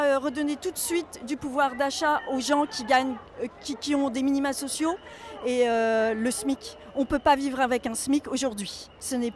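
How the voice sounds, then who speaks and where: A woman giving an off-the-cuff street interview as an indignant protester, emphatic and repeating herself. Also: high-pitched. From Nancy, France. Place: outdoors in the street amid a demonstration. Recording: loud crowd and street noise. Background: crowd and city noise.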